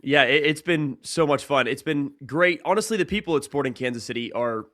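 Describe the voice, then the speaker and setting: A man's voice: on the high side, fairly quick, fairly bright tone, dry recording. Young man, dry close sound, conversational. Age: young adult. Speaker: sports play-by-play broadcaster, podcast guest. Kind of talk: casual interview answers.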